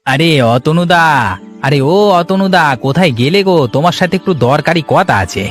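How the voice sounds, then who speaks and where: Guy doing a gossipy young villager, eager tattletale, highish voice, cartoon, light music behind. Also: normal speed, lightly acted. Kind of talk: Bengali cartoon dialogue.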